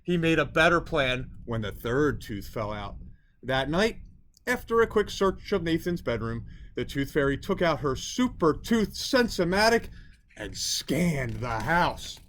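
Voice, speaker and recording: Guy reading a kids picture book out loud, expressive, slightly high voice, faint noise behind. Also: measured pace. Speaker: storyteller reading a children's book. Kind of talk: expressive scripted narration.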